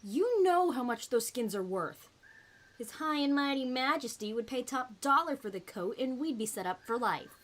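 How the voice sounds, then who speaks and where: A petulant, complaining huntress, acted by a woman for an audio roleplay. Her voice is fairly high, with the whiny tone of a greedy and superstitious hunter. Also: expressive, moderate pace, a bit bright.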